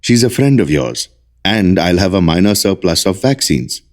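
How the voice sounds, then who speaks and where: Low male voice, actor as concerned doctor, formal measured phrasing, protective, audio drama. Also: animated. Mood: calm, obliging.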